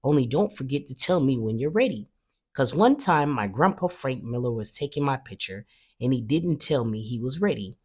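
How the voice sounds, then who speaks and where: A woman's voice: rumbling low, lively intonation, moderate pace, darkish tone, slightly rough, full character voice. A woman voicing a brash, chatty kindergarten girl in a children's storybook, emphatic and childlike. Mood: matter-of-fact. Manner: emphatic, indignant, childlike grammar. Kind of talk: children's storybook read-aloud.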